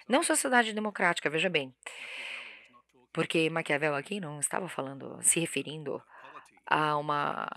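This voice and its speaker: A woman giving live interpretation of a lecture, halting and often correcting herself mid-word. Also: sits low, sharp tone. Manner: halting, with frequent self-corrections and restarts. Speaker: simultaneous interpreter.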